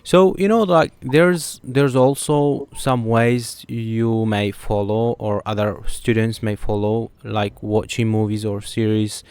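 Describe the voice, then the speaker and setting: Smooth male podcast host. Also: fairly low pitch, steady tone, takes it slowly, few pauses, a little mellow, no room sound. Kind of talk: casual interview hosting.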